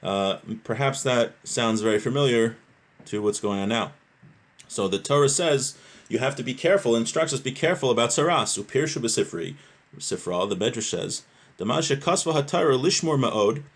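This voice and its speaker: A man's voice: medium pitch, lively intonation, normal speed. A man with a mid-range voice teaches religious law. He reads passages aloud and then explains them in plain terms, rephrasing points and putting short questions to his listeners. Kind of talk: religious lesson, reading a text aloud and explaining it.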